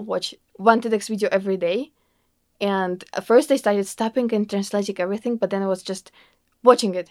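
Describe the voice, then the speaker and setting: A woman's voice: medium pitch, darkish tone, dry recording, clean studio sound. A young woman with a Russian accent, earnestly answering interview questions in a casual way, filling her talk with "like" and "you know". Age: young adult. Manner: earnest, fillers like "like" and "you know", laughs often.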